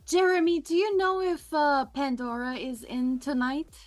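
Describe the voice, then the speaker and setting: A woman's voice: high-pitched, steady tone, measured pace, no room sound, faint music behind, pitched above the performer's own voice. A woman voicing a polite, curious vampire woman in a tabletop game. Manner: polite, inquisitive.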